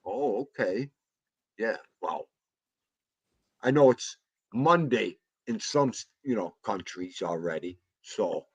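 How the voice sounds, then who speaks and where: A man's voice: lowish voice, expressive, slow, long pauses, darkish tone, husky, no room sound. A man hosting a casual livestream chat, greeting viewers and laughing often. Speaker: livestream host.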